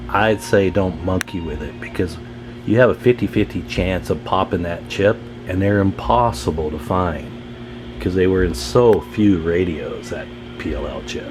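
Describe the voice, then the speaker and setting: A man talking informally and thinking aloud as he gives a casual hands-on demonstration as a radio repair hobbyist. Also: low voice.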